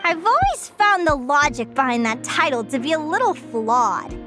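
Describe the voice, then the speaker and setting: A woman's voice: sits high, very animated, moderate pace. A woman voicing an earnest, adventurous, chatty young fae changeling in game dialogue. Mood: playful. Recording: music behind the voice.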